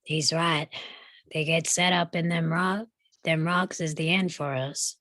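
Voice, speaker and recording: A woman playing a worried male cowboy in a posse at a live table read, urgent and hushed. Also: low-pitched, steady tone, unhurried, dry recording. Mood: alarmed.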